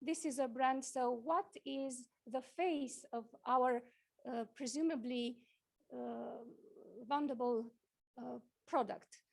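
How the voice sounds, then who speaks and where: A woman from Romania, giving a conference talk with slides, halting often with fillers and restarts. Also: high voice, vocal fry, a little mellow. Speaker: conference speaker. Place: conference hall.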